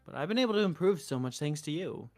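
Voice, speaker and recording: A man's voice: on the high side, animated, fast, darkish tone, soft background music. A man streaming a game, reading a visual novel's narration and dialogue aloud into a close mic.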